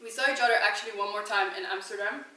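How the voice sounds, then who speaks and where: Young woman, Swiss-raised, chatty and giggly, bright tone, roomy distant mic. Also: medium pitch, steady tone, racing. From Switzerland. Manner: chatty, giggly, rambling with fillers. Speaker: vlogger answering questions. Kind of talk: casual chat. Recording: echoey room, mic at a distance.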